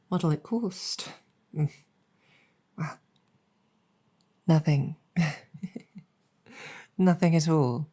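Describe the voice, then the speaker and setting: A gracious demon general voiced by a woman hosts an honored guest in an improvised ASMR roleplay. Her voice sits very low and dark. She speaks softly and very slowly, with wry, measured courtesy and small hesitations. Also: fairly even intonation, exaggerated voice. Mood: relaxed, friendly.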